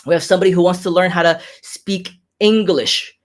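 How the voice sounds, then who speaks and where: A man in his thirties with a fairly high voice hosts a casual live stream. He speaks mostly with an American accent that carries a faint Hong Kong tinge. His delivery is upbeat and chatty, with frequent repetitions as he reads out viewer comments. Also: steady tone, fairly quick. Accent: American with Hong Kong hints. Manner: upbeat, chatty, repeats phrases, laughs often.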